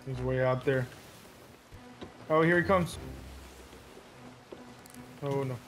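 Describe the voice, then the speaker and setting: A man's voice: medium pitch, slow pace, fairly bright tone, a little hiss or hum. A man talking casually with a friend as they play a video game together. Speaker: gaming co-player. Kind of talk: casual gaming chat.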